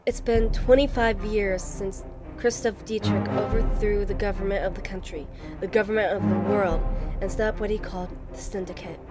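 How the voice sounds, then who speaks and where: A woman's voice: medium pitch, steady tone, normal speed. A woman giving serious, dramatic scripted narration of a dystopian fantasy world in a storytelling delivery. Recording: music behind the voice. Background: background music.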